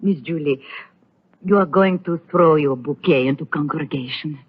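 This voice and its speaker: An actress playing an eager, plain-spoken immigrant housekeeper with a foreign accent in a radio soap. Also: female voice, deep voice, slightly rough. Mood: eager, hopeful. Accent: foreign, non-native English. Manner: halting, broken English, earnest. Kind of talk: 1930s radio soap opera acting. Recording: muffled old radio recording.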